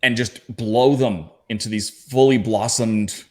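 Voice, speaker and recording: A man's voice: lowish voice, fairly even intonation, measured pace, a little mellow. A man from Canada speaking as a podcast panelist, telling personal anecdotes in a casual, conversational way.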